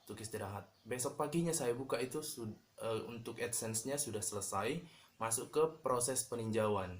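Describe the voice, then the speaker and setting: Male YouTuber, mid-pitched, easygoing halting delivery, faint hiss. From Medan, Indonesia. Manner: relaxed, halting, many fillers and restarts. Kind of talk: casual tips vlog.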